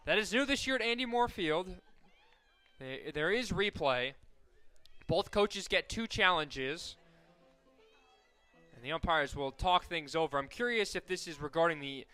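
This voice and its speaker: An American man calling live softball play-by-play as a radio announcer, conversational and ready to lift with the action. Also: on the high side, a little slow, clear, resonant tone. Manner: conversational between plays, excited calls on action.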